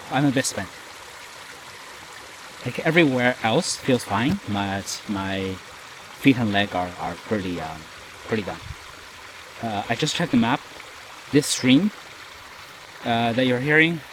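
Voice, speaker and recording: A man's voice: mid-range voice, animated, a little slow, long pauses, background noise. Tired man vlogging on a rainy trail. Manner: tired, rambling, self-mocking asides. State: nasally, a bit out of breath from hiking. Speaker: solo hiker vlogging. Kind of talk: casual self-recorded trail diary. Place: outdoors on a forest trail in rain. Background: stream and forest ambience.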